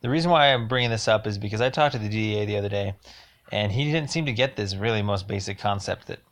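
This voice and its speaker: A man with a fairly low voice and a lot of gravelly fry leaves a rambling complaint as a voicemail. He speaks haltingly but insistently, leaning on his words for emphasis. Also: fairly bright tone. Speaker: caller leaving a voicemail.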